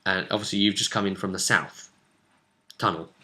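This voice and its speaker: Bright-voiced man recapping a role-playing game. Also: sits low, fairly even intonation, moderate pace. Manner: explanatory, often ending on tag questions. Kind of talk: casual conversational game recap.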